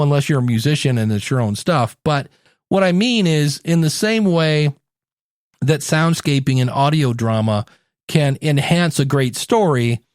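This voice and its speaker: A man hosting a podcast in a conversational solo style, speaking emphatically and slowing down for dramatic effect. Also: on the high side, no room sound, studio quality. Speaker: podcast host. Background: background music.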